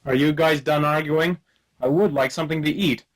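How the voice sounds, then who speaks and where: A man's voice: a little high, fairly even intonation, normal speed, natural voice. A man acting a hapless, wisecracking private detective who complains with mock refinement in a comic radio play. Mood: cheeky, demanding.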